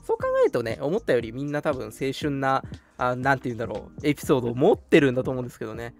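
A man's voice: mid-range voice, lively intonation, racing, gravelly. A young adult man hosting a casual radio chat, reflective and teasing in his manner. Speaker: radio show host. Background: background music.

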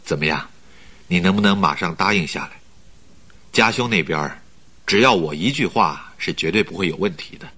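An actor in a Mandarin radio drama playing a polite, flattering company recruiter who talks in a measured, persuasive way. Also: male voice, low voice, husky, heavily acted. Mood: coaxing. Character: smooth-talking male company recruiter, flattering, persuasive schemer.

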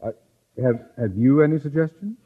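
An actor playing a pompous, stern middle-aged principal in an old American radio comedy, blustering and formal. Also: male voice, mid-range voice, normal speed, breathy edge, natural voice. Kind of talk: 1940s-50s radio situation comedy acting. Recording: dull old radio recording.

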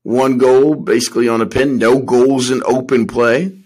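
A man's voice: mid-range voice, fairly even intonation, normal speed, a little mellow, husky, rough recording. A man co-hosting a podcast, chatting casually about sports in slangy, informal speech and repeating points for emphasis. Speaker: podcast co-host.

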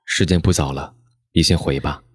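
A man playing a brooding, rebellious high-school boy in an audio drama, speaking in a curt, aloof way. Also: fairly even intonation, normal speed, husky, no room sound, clean studio sound. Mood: resigned.

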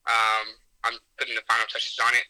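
A young man, a singer-songwriter, answering interview questions in a relaxed way with many fillers. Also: medium pitch, rough recording. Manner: unhurried, filler-heavy, confident about his music.

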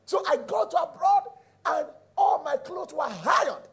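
A middle-aged Nigerian man with a raspy voice, teaching a sermon emphatically, repeating key phrases and checking in with his listeners. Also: very high-pitched, big pitch swings, a little slow, a bit bright. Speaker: preacher.

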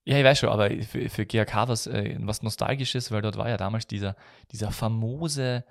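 Österreichischer Podcast-Moderator mit tiefer, knarrender Stimme. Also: male voice, fairly low pitch, creaky, dry recording, clean studio sound. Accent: Austrian. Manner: halting, searching for words, many hesitations. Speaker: podcast host. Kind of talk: casual football podcast chat.

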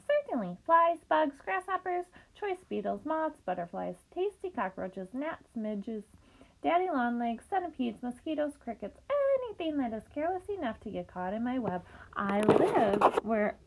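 A woman voicing a bold, clever female spider in a storybook reading, speaking in a brisk, high, matter-of-fact way. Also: sing-song, gravelly, heavily acted, low-quality recording.

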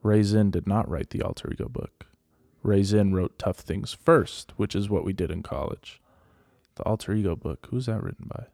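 An American man chatting casually as a podcast co-host, with a deadpan, self-mocking manner. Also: lowish voice, normal speed, vocal fry, dry recording, clean studio sound. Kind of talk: casual banter.

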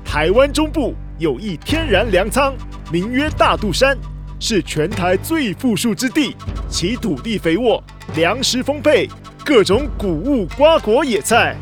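A man acting in a radio drama as a scheming, flattering informer with an oily, sneering manner. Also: sits high, full character voice. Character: scheming, sycophantic male informer with a silver tongue.

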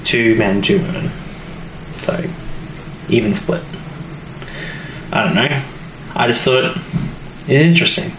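A man co-hosting a podcast, recapping a story in casual, halting conversation with small asides. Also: mid-range voice, fairly bright tone. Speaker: podcast co-host recapping a TV episode. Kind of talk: casual chat, episode recap. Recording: roomy echo, faint background noise.

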